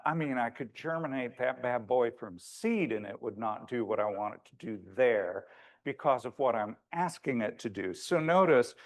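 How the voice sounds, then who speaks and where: A man with a big voice and a medium pitch presents to an audience in a conversational lecture style. His delivery is informal and a little wry, with frequent hesitations as he explains things. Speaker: landscape architect giving a presentation.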